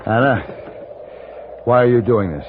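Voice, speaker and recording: A man's voice: mid-range voice, lively intonation, a little slow, lightly acted. An American man playing a cool, taunting, unafraid Western stranger in an old-time radio drama. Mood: subdued. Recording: tinny noisy old radio recording.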